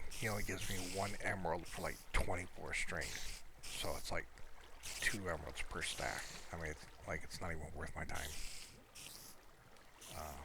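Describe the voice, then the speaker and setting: A man giving casual, unscripted, rambling commentary while showing his game world in a low-key, conversational way. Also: noisy background.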